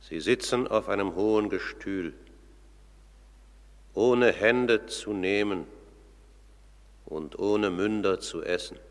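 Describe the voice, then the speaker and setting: A solemn herald of the underworld court, makes formal announcements in a radio drama. The voice is low and slow, carried on a long hall reverb. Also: male voice. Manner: formal, ceremonious, measured. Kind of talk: scripted radio drama narration.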